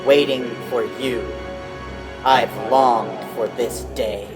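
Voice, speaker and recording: Man voicing a slow, grandiose satyr warrior. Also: mid-range voice, fairly even intonation, music in the background, close to an everyday voice. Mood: fatalistic, longing. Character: world-weary, grandiose mythical satyr warrior, fatalistic and bold. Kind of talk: storybook character voice.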